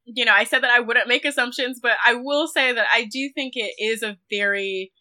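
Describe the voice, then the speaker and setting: A woman's voice: on the high side, brisk, pushed and bright. A woman speaking as a guest critic in a film discussion, thoughtful and careful, often qualifying her points as she goes. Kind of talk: reflective film discussion interview.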